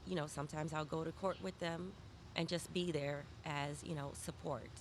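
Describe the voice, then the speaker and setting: Lower-voiced woman over clear background noise. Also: steady tone, moderate pace. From born in Malawi, grew up in Saint Louis, USA. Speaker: victim advocate, interview guest. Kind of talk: conversational interview answers.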